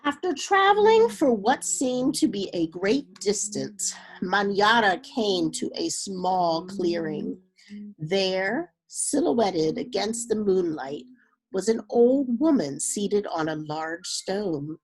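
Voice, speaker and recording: A woman reading a children's story aloud in an expressive, dramatic way with unhurried phrasing. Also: mid-range voice, poor audio. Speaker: storybook reader.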